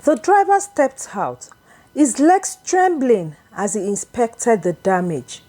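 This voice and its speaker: A woman narrating a scripted folktale in a deliberate, expressive, dramatic storytelling manner. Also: on the high side.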